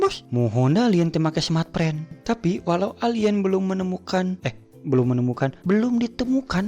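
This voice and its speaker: A man's voice: sits high, a little mellow. A man hosting an informal comic explainer in a chatty, informative way. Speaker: host of a cartoon explainer show.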